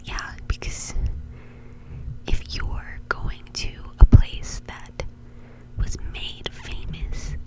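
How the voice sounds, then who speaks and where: A woman whispering in an informal, chatty way, rambling casually as an ASMR host. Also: low-quality recording.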